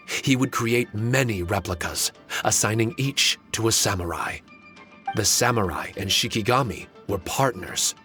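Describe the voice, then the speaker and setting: A man voicing a courteous, solemn ancient guardian spirit in an anime game, speaking formally and in a measured way. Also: medium pitch, a bit bright, natural voice.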